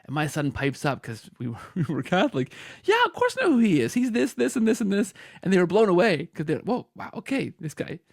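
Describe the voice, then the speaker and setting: A man's voice: sits high, huge pitch swings, a little fast, creaky, few pauses, no room sound, clean studio sound. A man hosting a podcast, interviewing casually with informal, hesitant phrasing that circles back on itself. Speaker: podcast host.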